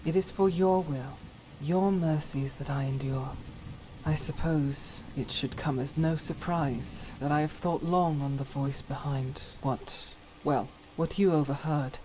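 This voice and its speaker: An actress playing a devout, troubled warrior woman in a fantasy game, solemn and earnest with halting delivery. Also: deep voice, steady tone, noisy background. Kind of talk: dramatic fantasy game voice acting.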